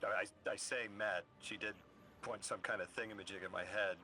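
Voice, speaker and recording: An actor voicing a bewildered man displaced centuries from his own time, halting and searching for words, in a video game cutscene. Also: on the low side, a little hiss or hum. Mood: folksy. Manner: anguished and halting when grieving, folksy and rambling when relaxed.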